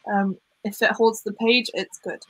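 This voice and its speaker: Young welsh woman, light welsh accent, bright voice, chatty on a video call. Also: medium pitch, fairly even intonation, a little slow, breathy edge, no echo, poor audio. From Wales. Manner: chatty, giggly, trails off with ums. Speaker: host. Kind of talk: casual chat on a live stream.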